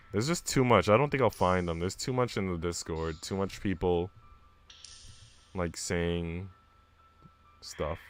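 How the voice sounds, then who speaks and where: A man's voice: on the low side, a bit bright, clear, resonant tone. A man live streaming in an informal, slangy way, moving between casual chat and reading aloud.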